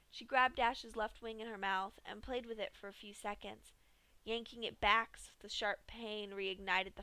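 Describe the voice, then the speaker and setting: A woman reading a dark fanfic aloud in a steady storytelling manner. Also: mid-range voice, dry recording, low-quality recording. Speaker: fanfiction reader.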